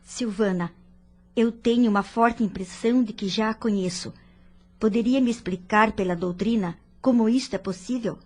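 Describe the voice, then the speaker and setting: A woman playing an ailing, pensive older mother in an audio drama, with a breathy voice. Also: mid-range voice, moderate pace, a little mellow, natural voice. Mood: pensive, troubled.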